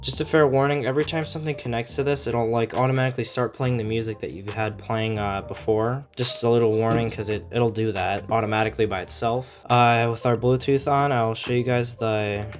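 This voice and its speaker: Male reviewer, mid-pitched, informal and explanatory, walking through a product casually, some music behind. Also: steady tone, brisk, few pauses. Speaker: gadget reviewer.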